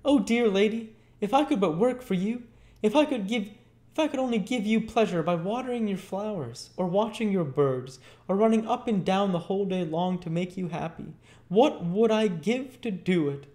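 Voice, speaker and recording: Man acting a frail young orphan boy, high voice, earnest, eager, gushing, storybook character. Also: animated, normal speed, warm tone. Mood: fervent gratitude.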